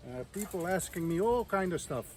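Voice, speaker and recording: A man's voice: high-pitched, expressive, fairly quick, darkish tone. A man from the Netherlands who builds villas, giving casual to-camera advice in a plain, hesitant way with many ums.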